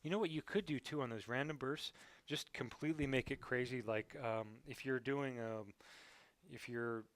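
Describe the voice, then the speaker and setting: A man's voice: medium pitch, normal speed, dry recording. An American man speaking casually and encouragingly as a cycling coach, thinking aloud as he reviews training data.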